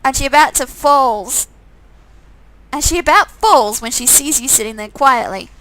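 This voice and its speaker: A woman's voice: high voice, expressive, moderate pace, sharp tone, dry recording, rough recording. A woman reading a visual novel game aloud, with sarcastic, amused asides in a casual style. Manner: sarcastic, amused commenting between read lines.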